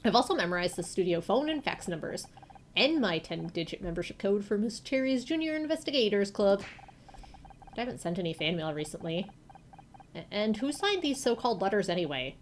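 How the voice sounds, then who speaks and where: A woman's voice: mid-range voice, big pitch swings, brisk, a little hiss or hum, close to an everyday voice. A woman voicing a nerdy young male superfan in a visual novel, fussy, defensive and prone to stammering.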